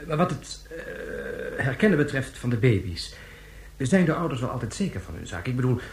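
An actor playing a polite, probing reporter in a radio drama, asking formal and slightly hesitant questions. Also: male voice, medium pitch, big pitch swings, moderate pace, gravelly. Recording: roomy, echoing radio drama recording.

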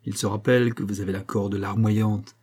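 A man's voice: lowish voice, level tone, moderate pace, a little mellow, slightly rough. A man voicing a cruel, mocking husband in a dramatic audiobook, sneering and coldly domineering. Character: cruel, mocking estranged husband, menacing and smug.

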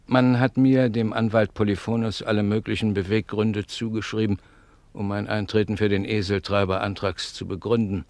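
An actor playing a pompous, self-righteous lawyer in a 1950s German radio play, declaiming and lecturing with rhetorical flourish. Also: male voice, lowish voice, little pitch movement, normal speed, darkish tone, no room sound, close to an everyday voice. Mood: grand, declamatory. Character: pompous, self-righteous male lawyer, preaching high principle. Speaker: advocate character narrating and arguing.

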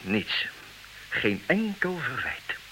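An actor playing a smug, calculating jealous husband in a comic radio play, with a theatrical, declaiming manner. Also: male voice, medium pitch, very animated, extremely slow, bright, forceful tone, heavily acted, poor audio. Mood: smug triumph. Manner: theatrical, self-satisfied, declaiming. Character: smug, calculating jealous husband, self-styled expert on women. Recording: hissy old radio play recording.

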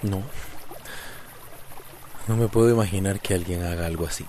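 An actor as a low-voiced, caring father. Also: moderate pace, warm tone, lightly acted. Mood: thoughtful. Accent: Costa Rican. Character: Mauricio, calm caring father, patient peacemaker. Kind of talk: radio soap opera acting. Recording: clear noisy outdoor ambience.

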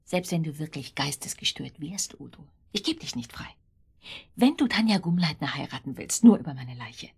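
A woman's voice: sits low, very animated, normal speed, vocal fry, a little mellow, slightly rough, exaggerated voice. A woman acting a middle-aged, suspicious and defiant wife in a German radio play, with pointed, mocking questions. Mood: wounded, defiant.

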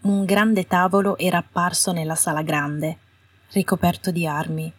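A woman's voice: fairly low pitch, flat delivery, moderate pace, breathy edge. A woman reading scripted fiction as an audiobook narrator. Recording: roomy echo, distant from the mic.

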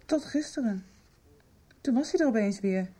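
A woman's voice: on the high side, expressive, moderate pace, mellow. An actress playing an earnest young woman searching for the truth about her father in a radio drama.

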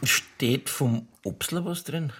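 An actor playing a blunt, bossy Bavarian pub drinker in a radio crime drama, talking in a commanding, colloquial way. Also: male voice, mid-range voice, slow, heavy background noise, lightly acted. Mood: curious.

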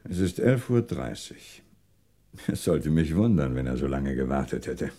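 A man's voice: rumbling low, very animated, unhurried, mellow, full character voice. A male actor playing a sarcastic, relentless French police commissioner who probes pointedly in a 1960s German radio crime drama. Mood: calm, dry. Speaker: police commissioner interrogating suspects.

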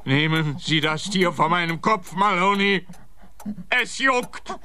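A man playing a blustering, slow-witted policeman in a radio play, pompous and prone to indignant rambling. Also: sits high, animated, sharp tone, slight background noise, heavily acted.

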